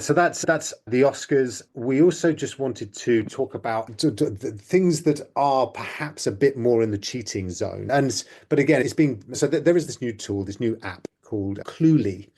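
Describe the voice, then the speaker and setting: A man co-hosting a podcast, talking with quick, emphatic enthusiasm. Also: mid-range voice, darkish tone, slightly rough. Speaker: podcast co-host. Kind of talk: casual chat.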